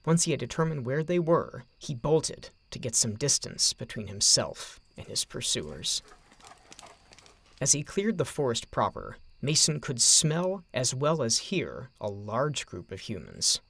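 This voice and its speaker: A man narrating a scripted story in a measured, dramatic way, with deliberate phrasing. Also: medium pitch, very animated, gravelly, fairly bright tone, slightly rough, no echo.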